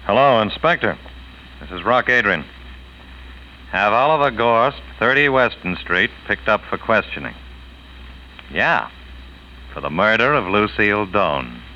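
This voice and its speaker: An American actor playing a terse, businesslike police detective in a 1940s radio drama, clipped and authoritative. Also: male voice, fairly low pitch, lively intonation, a little slow, pauses often, bright, forceful tone. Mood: brisk, commanding. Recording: dull old radio recording, faint noise.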